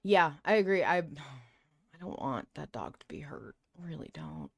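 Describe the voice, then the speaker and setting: A woman's voice: sits low, expressive, unhurried, gravelly, breathy edge, no echo. A woman hosting a podcast, chatting casually and musing informally about a story. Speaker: podcast host. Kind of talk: casual discussion of a fiction podcast.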